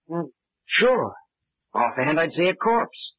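A man's voice: fairly high pitch, very animated, slow pace, no room sound, heavily acted. An actor playing a defensive, sarcastic young male college student in an old-time radio mystery. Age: young adult. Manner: peevish, defensive. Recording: muffled, slightly noisy old radio recording.

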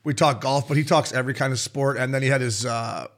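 A man co-hosting a podcast with a raspy voice, telling stories fast and full of swearing, acting out other people's lines. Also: mid-range voice. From Canada. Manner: fast, profane storytelling with voiced quotes. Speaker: podcast co-host. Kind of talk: casual chat.

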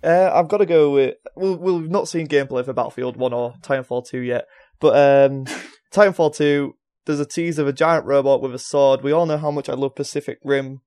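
Male panelist, casual conversational delivery, higher than average register. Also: fairly quick, a little mellow. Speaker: podcast panelist. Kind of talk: casual chat.

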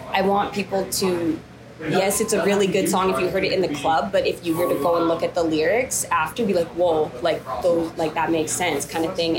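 Woman rapper chatting in a coffee shop, lowish voice, lots of "like" and "um", people chattering behind. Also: poor audio. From Canada. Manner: chatty, many fillers and restarts. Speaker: rapper, interview guest. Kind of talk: casual interview talk.

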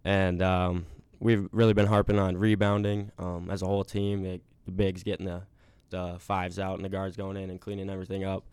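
A man's voice: low-pitched, level tone, brisk, darkish tone, clean tone, dry recording. A young man who plays college basketball, answering interview questions in a laid-back way with lots of filler words. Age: young adult. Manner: laid-back, many ums.

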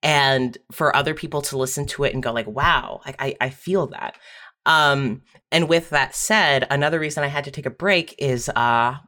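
A bright, clear-edged voice at a middling pitch, talking casually as a guest writer on a podcast. The delivery is informal and open, with a self-deprecating streak. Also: normal speed. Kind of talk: casual personal chat.

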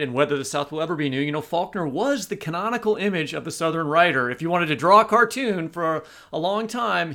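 Man, podcast co-host, somewhat high pitch, strong vocal fry, conversational literary interviewing. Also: fairly bright tone.

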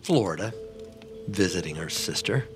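A man playing a gentle, flustered middle-aged ex-husband in an audio drama, halting, earnest and polite. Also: low-pitched, expressive, faint music behind. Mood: awkward, tender. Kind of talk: naturalistic audio drama acting.

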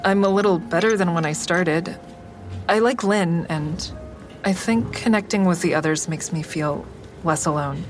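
A woman's voice: lowish voice, normal speed, faint music behind, lightly acted. An actress playing a guarded, introspective woman who narrates her own story in an audio drama, with wry understatement. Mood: guarded, reflective. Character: guarded woman, a stand-up comic and crisis-line volunteer, narrating. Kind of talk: first-person audio drama narration and dialogue.

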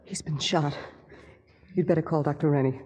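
An actress playing a frightened, bitter young wife in breathy 1940s radio melodrama style. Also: female voice, low-pitched, steady tone, normal speed, dark tone. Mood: shaken, bitter. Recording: echoey 1940s radio recording.

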